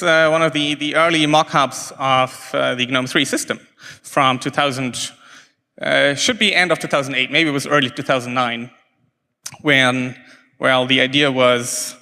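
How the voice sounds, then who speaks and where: A man's voice: mid-range voice, pushed and bright. A man whose first language is German, giving a hesitant technical talk from slides with many restarts and fillers. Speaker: conference speaker. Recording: long hall reverb.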